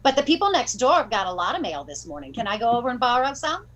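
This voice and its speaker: Woman voicing a clueless maid in an old radio comedy, highish, deadpan but bouncy. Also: quick pace. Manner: deadpan, naive replies. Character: literal-minded, dim young housemaid.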